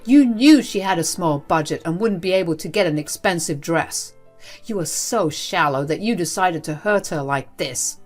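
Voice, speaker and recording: A woman's voice: on the low side, lively intonation, moderate pace, soft background music. A woman voicing an indignant young groom who scolds his mother in an exasperated, emphatic way.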